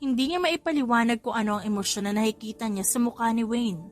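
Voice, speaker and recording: A woman's voice: fairly high pitch, quick pace, faint music behind. A woman reading a story as an audiobook narrator in scripted narration.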